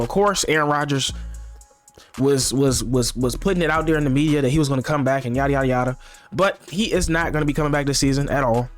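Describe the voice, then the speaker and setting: A young man under the weather, chatting casually and slangily about football picks, rambling and repeating himself. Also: medium pitch. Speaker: sports picks vlogger. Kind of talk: casual sports predictions chat.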